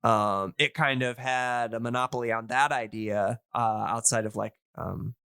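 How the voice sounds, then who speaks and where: A man's voice: medium pitch, fairly even intonation, moderate pace, gravelly, bright, forceful tone, clear, resonant tone, no echo. A man hosting a podcast, explaining things casually in a rambling, hesitant but enthusiastic way. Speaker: podcast host.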